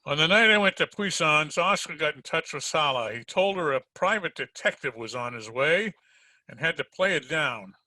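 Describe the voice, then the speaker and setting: An actor playing a tough, hard-boiled private detective in 1940s radio drama style, sardonic and slangy. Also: male voice, a little high, expressive, normal speed, pushed and bright, dry recording, rough recording. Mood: cool, accusing.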